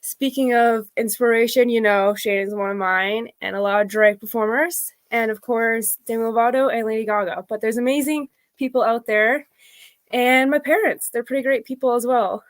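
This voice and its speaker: A woman's voice: fairly high pitch, fairly even intonation, moderate pace. A woman reading a picture book as a guest, friendly and chatty, with casual asides to the audience. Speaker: drag performer, guest storybook reader.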